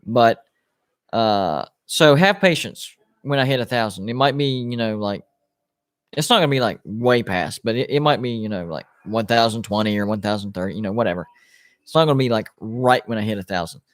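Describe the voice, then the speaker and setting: A man's voice: fairly low pitch, lively intonation, fairly quick. A man in his late thirties hosts a live sports watch-party stream, chatting casually and reading viewer comments aloud. His talk rambles, with plenty of restarts and fillers. The sound is dry and close, with little room echo. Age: 30s. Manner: rambling, restarts, lots of "like" and "you know".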